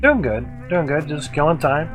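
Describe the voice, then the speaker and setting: A man's voice: a little high, big pitch swings, moderate pace, a bit bright, faint music behind. A man livestreaming a video game with casual, rambling commentary in short clipped phrases. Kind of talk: casual gameplay commentary, chatting with viewers.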